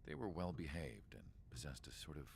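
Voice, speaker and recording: Game cinematic narrator: wistful military researcher, extremely low gravelly voice, measured delivery, faint background noise. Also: male voice, very animated, full character voice. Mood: measured, reflective. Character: reflective male military researcher recounting the past.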